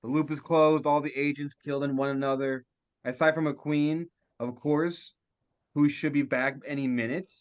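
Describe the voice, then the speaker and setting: Man playing high-ish foul-mouthed crime-comedy schemer, muffled. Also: fairly even intonation, normal speed, clear, resonant tone, lightly acted. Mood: smug. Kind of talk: virtual table read of a comedy script.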